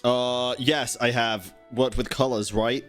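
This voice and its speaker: A man's voice: mid-range voice, steady tone, slow pace, a bit bright, soft background music. A man casually reacting to a game as a streamer, blunt and sweary with lots of slang.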